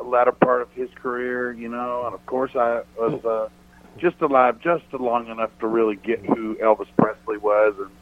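A man with a deep voice, a country singer chatting casually as a radio guest, rambling thoughtfully with many restarts. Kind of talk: casual radio interview chat. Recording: tinny phone-line sound.

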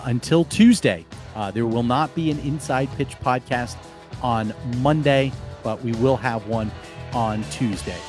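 A hoarse man hosting a live sports podcast, talking casually and rambling with restarts and fillers. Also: medium pitch, a little mellow.

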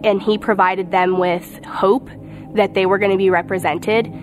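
A woman from Venezuela who grew up in Texas, giving an earnest, measured personal testimony. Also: mid-range voice, fairly even intonation. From born in Venezuela, raised in Texas, United States. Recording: music playing behind the voice. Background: background music.

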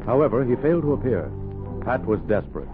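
Man, 1930s radio narration, measured and dramatic, tinny sound, music underneath. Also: medium pitch, sing-song.

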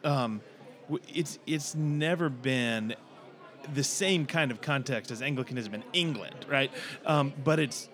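A man hosting a discussion, searching for words with many pauses and fillers. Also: mid-range voice, a bit bright. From United States. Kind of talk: casual discussion.

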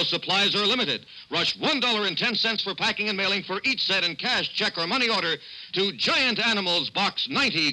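A man's voice: bright, forceful tone, poor audio. A man announcing a scripted hard-sell mail-order radio ad in an emphatic, exclamatory way. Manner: emphatic, exclamatory sales pitch.

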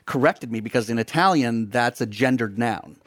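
A man's voice: medium pitch, normal speed, dry recording. Chatty man with a dry close sound. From eastern United States. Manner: chatty, with frequent restarts. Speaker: co-author. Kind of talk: live onstage conversation.

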